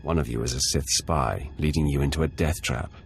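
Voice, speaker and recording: An actor voicing a menacing, calm Sith warrior in a video game, commanding and deliberate. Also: male voice, faint music behind, heavily acted. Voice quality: deep, gravelly. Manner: deliberate, commanding, coolly confident.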